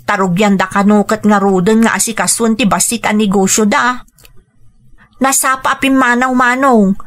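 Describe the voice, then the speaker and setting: A woman's voice: medium pitch, steady tone, normal speed, natural voice. A woman with an Ilocano accent playing a caring, exasperated grown daughter in an emotional radio drama. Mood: worried, confiding.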